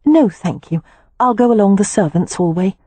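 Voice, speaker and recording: A woman's voice: mid-range voice, lively intonation, moderate pace, mellow, slightly rough, no room sound, voice pushed up. A woman voicing a young wife who is frank and restless, speaking earnestly and objecting readily.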